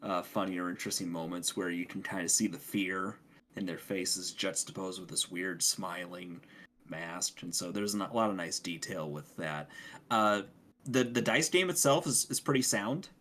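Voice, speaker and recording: A man's voice: low-pitched, moderate pace, fairly bright tone. A man giving a casual game review as a podcast co-host, recorded with slight noise. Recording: faint background noise.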